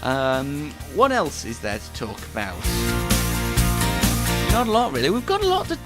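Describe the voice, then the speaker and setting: A man's voice: a little high, wildly swooping pitch, normal speed, loud music behind the voice. A man hosts an online show in a casual, rambling, self-deprecating live monologue.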